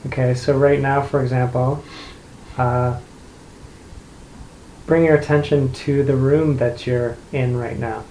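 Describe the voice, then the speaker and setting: Mellow, unhurried man guiding meditation, roomy echo. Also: mid-range voice, fairly even intonation. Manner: unhurried, gentle instructing with long pauses. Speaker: meditation guide. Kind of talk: guided meditation, unscripted. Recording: roomy echo, light background noise.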